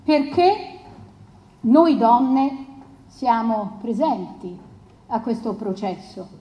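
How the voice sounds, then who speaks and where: Slow, resolute woman lawyer in echoing hall. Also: fairly high pitch, lively intonation, warm tone. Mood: solemn, resolute. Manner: deliberate, rhetorical pauses. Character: solemn, resolute feminist lawyer addressing the court. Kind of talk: declaimed courtroom oration in radio drama. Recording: muffled, echoing large hall.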